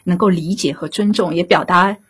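A woman answering as an interview guest, earnest and conversational, pausing as she forms her thoughts. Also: on the low side, fairly bright tone, breathy edge.

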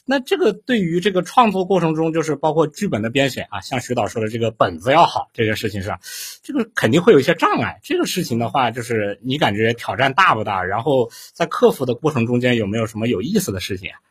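A man's voice: medium pitch, very animated, quick pace, fairly bright tone, husky. A man co-hosting, putting questions to a guest in casual, unscripted talk. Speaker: co-host.

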